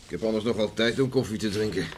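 A man's voice: fairly low pitch, fast, lightly acted. An actor in a radio play playing a weary, stubborn middle-aged farmer father who grumbles tersely and gloomily. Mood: sullen, resigned. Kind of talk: Dutch radio play acting. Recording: noisy old radio play recording.

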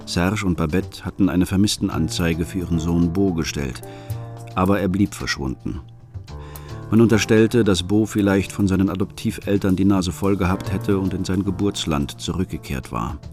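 A man's voice: deep voice, mellow. An actor playing a bitter, secretive middle-aged father who narrates a radio drama in terse, brooding fragments. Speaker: first-person narrator and lead actor. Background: music.

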